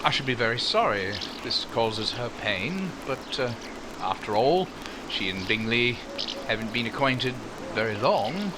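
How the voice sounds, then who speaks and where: A man playing a proud, awkward gentleman suitor in 1940s radio romance style, formal and hesitant. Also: medium pitch, big pitch swings, a little slow, background noise. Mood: stiff, reserved.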